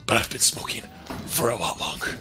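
A man voicing a deliberately bad Batman impression, putting on a deep gravelly rasp and talking about himself with mock seriousness. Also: animated, lightly acted. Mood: mock-gruff. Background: game music.

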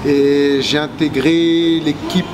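A man in his forties, a basketball player, answering interview questions with many pauses and restarts, seated in empty arena stands. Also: fairly high pitch, fairly even intonation. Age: 40s. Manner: hesitant, many fillers and restarts. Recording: loud background noise.

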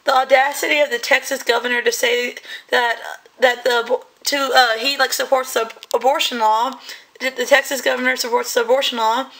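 A woman hosting her channel, giving heated, rambling commentary in a hoarse voice that is still coming back. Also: sits high, level tone, brisk, fairly bright tone. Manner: heated, rambling, many fillers. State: hoarse, voice recovering. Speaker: channel host. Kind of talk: casual commentary.

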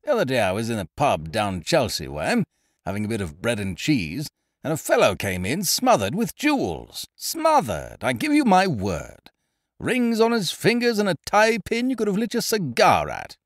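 A man playing a booming, blustering schemer. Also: wildly swooping pitch, moderate pace, no echo, studio quality. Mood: expansive. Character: loud, hearty, scheming young male gentleman adventurer.

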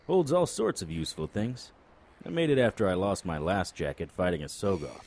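An actor playing a cocky, unflappable supernatural private investigator in an audio drama, full of wry, flippant banter. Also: male voice, low voice, expressive, brisk, a little mellow. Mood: brisk, cocky.

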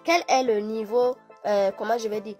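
A woman's voice: a little high, fairly even intonation, moderate pace, over music. A woman speaking directly to her phone camera, giving advice in a lecturing way. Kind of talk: advice video.

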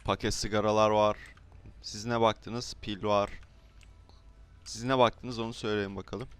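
A man's voice: lowish voice, flat delivery, a little slow, fairly bright tone, clear, resonant tone. A man bantering casually while trolling in an online roleplay game, switching between mock politeness and slang. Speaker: gaming YouTuber.

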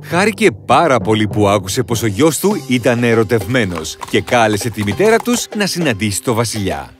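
Male fairy-tale narrator, mid pitch, extremely lively delivery, faint music behind. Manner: dramatic, highly expressive storytelling. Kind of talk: scripted storybook narration.

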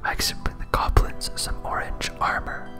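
Someone whispering softly and breathily in hesitant, rambling, self-deprecating ASMR commentary while playing a game. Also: normal speed, music in the background. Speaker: host, game streamer.

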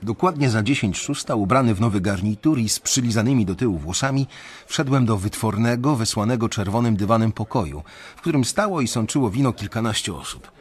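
An actor playing an uneasy young banker who narrates a radio drama in a measured, reflective way. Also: male voice, on the low side, lightly acted. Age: young adult.

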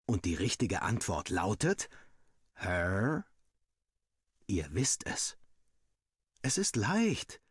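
A man's voice: on the low side, wildly swooping pitch, drawn-out words, long pauses, breathy edge, no room sound, clean studio sound, heavily acted. A man playing a young student villager who narrates his diary in a wry, dramatic, self-mocking way, as a scripted game story. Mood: joking, embarrassed.

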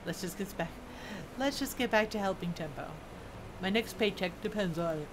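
A woman's voice: medium pitch, moderate pace. A woman game streamer chatting casually and rambling to herself as she plays, sometimes reading quest text aloud. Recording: clear background game noise. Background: video game sounds.

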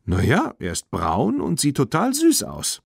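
A kind, excitable young girl who loves horses, voiced by a man in a German children's audiobook. The delivery is animated and expressive, recorded dry. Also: a little high, unhurried, vocal fry, breathy edge, no room sound, voice pushed up, full character voice. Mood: cheerful. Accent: standard German. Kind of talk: children's audiobook character reading.

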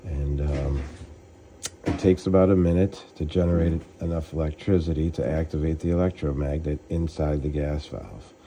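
A man working as a heating technician, explaining a furnace repair step by step in a relaxed, plain-spoken way, recorded on a handheld phone beside a gas furnace. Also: rumbling low, normal speed, slight background noise. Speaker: HVAC technician. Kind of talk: casual how-to explanation. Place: utility room at a gas furnace.

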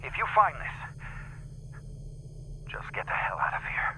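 Tense, sharp-voiced male biologist. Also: unhurried, rough recording. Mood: urgent, grim. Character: anxious male biologist fleeing an outbreak. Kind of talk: sci-fi game audio log acting. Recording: faint noise, recorded audio log.

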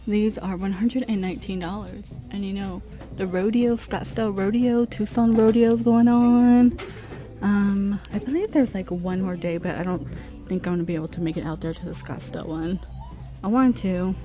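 A woman's voice: medium pitch, dark tone. A middle-aged woman vlogging a casual shopping trip, chatting and reading out prices as she browses a busy store. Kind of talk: casual shop-with-me narration. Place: busy discount clothing store.

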